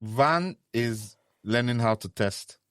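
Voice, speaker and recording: A man's voice: mid-range voice, expressive, slow, a bit bright, no echo, studio quality. A man from Liverpool with a Liverpool accent, co-hosting a podcast in casual chat, playful and jokey. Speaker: podcast co-host.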